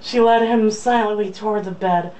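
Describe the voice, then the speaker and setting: A woman's voice: medium pitch, steady tone, normal speed, dark tone. A young woman casually reading a romance novel aloud, with an irreverent, joking manner. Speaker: reader. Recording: distant mic, faint background noise.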